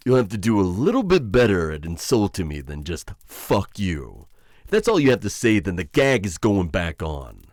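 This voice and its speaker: A man playing a menacing, conflicted witch hunter in an ASMR roleplay, addressing his captive in a halting, intimate, taunting way. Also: low-pitched, very animated, darkish tone, no echo, clean studio sound.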